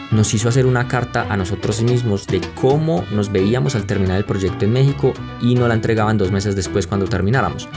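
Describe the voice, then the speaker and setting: A young man from Colombia with a Colombian accent, hosting a podcast and telling a personal story in a reflective, conversational way. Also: on the low side. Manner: reflective, conversational, speaks straight to listeners. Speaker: podcast host. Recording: music playing under the voice.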